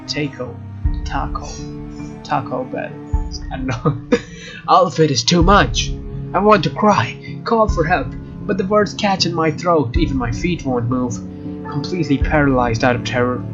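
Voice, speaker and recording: A man's voice: on the high side, unhurried, dull audio. A man narrating a let's play, reading game text aloud and adding informal, joking asides. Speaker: let's player. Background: game music.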